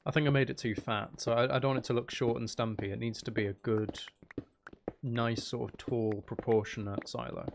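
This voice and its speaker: Man with a gravelly, low-key creak. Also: steady tone, fairly quick, noisy background. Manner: chatty, thinking aloud and chatting to viewers. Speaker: streamer, host of a live gaming stream. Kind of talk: casual live game stream chat.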